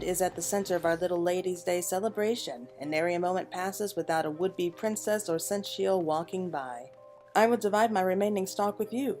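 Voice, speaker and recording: A woman's voice: medium pitch, darkish tone, natural voice. A woman voicing a courtly, gracious festival attendant from a fantasy game, formal and polite. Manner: formal, polite, archaic wording.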